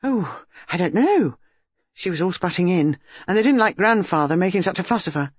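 A man voicing an excited, talkative boy of about nine who stammers and chatters eagerly. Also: high-pitched, moderate pace, breathy edge, no echo. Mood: chatty. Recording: dull, dry lo-fi recording.

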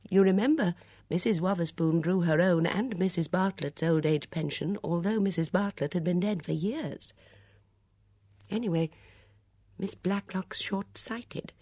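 A woman voicing a shrewd, gentle elderly English spinster in an audiobook, with a mild, absent-minded murmur. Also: on the low side, unhurried, husky, no echo, voice pushed up. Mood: musing.

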